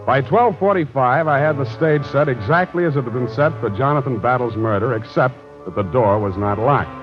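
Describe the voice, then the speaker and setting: An actor playing a tough, unflappable American private detective in an old radio drama, deadpan and wisecracking. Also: male voice, mid-range voice, close to an everyday voice. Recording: dull old radio recording with music.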